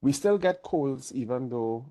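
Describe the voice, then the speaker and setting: A man's voice: medium pitch, animated, unhurried, mellow. A man chairing an online meeting, a little nasal from a cold, easygoing and chatty while keeping his diction careful.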